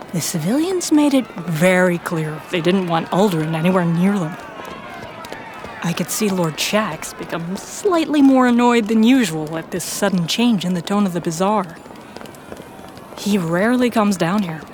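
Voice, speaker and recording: A woman reading scripted first-person narration for a fiction audio drama. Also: mid-range voice. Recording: clear background noise and ambience.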